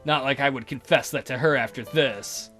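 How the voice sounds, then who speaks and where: A man's voice: mid-range voice, normal speed, sharp tone, faint music behind. A man hosting a let's play, reading a visual novel aloud with crude, mocking asides.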